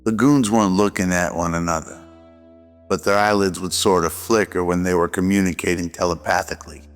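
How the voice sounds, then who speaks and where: Deep, even-toned male narrator in reverb. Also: brisk. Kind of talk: scripted radio drama narration. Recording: long reverb over faint music.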